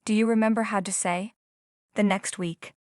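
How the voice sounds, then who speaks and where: A woman's voice: medium pitch, fairly even intonation, dry recording, studio quality. A woman teaching beginners, asking the viewer step by step to recall phrases. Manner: slow, prompting questions to the viewer. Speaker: language teacher. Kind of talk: scripted English instruction.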